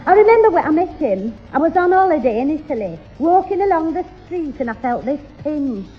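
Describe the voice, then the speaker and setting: High, gushing, doting widow neighbour. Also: female voice, big pitch swings, fairly quick, soft background music. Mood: chatty. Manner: coaxing, baby-talking, gushing. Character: fussing, doting, man-hungry middle-aged widowed neighbour woman. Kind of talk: 1960s British radio sitcom acting. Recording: dull 1960s radio recording.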